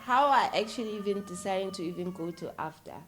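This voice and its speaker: A woman with a South African accent chatting casually and telling stories as an interview guest, in an informal, animated manner. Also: mid-range voice, fairly quick, a little hiss or hum. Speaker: interview guest, actress and filmmaker. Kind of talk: casual conversational storytelling.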